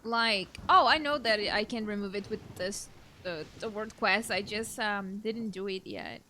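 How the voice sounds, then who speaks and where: A woman's voice: mid-range voice, unhurried, bright, forceful tone, a little hiss or hum. A woman giving casual reaction commentary as she plays a video game. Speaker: let's-play streamer.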